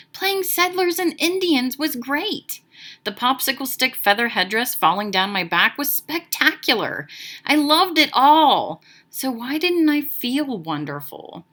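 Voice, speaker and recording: A woman reading a children's book aloud as narrator, with animated, dramatic, expressive storytelling. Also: a little high.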